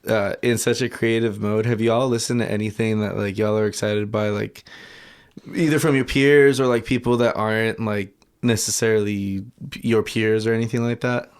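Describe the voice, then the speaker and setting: A man co-hosting a casual podcast, relaxed, asking long questions full of fillers. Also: on the low side, moderate pace, clear, resonant tone. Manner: relaxed, asks rambling questions with many fillers. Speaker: podcast co-host.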